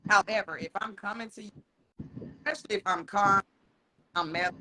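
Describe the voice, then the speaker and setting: A man co-hosting a podcast in casual chat. He talks frankly and swears a lot, laughs often, and slows down to stress his points. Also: high-pitched, noisy background. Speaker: podcast co-host.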